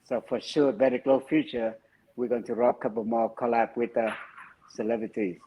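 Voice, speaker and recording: A man's voice: mid-range voice, level tone, muffled recording, slight background noise. Vietnamese-accented man on a video call. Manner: halting, non-native phrasing. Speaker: project founder guest. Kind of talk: casual interview over video call. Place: home room with framed photos.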